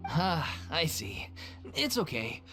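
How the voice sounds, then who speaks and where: A man's voice: a little high, big pitch swings, takes it slowly, music in the background. An actor playing an earnest, wistful man who leads an amateur theater troupe in a video game. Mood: resigned. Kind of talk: video game character acting.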